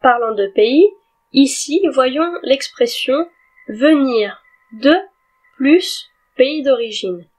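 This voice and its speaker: A woman teaching a grammar lesson in a clear, deliberate way, spelling words out letter by letter. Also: sits high, animated. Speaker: French teacher. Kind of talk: slow scripted grammar lesson.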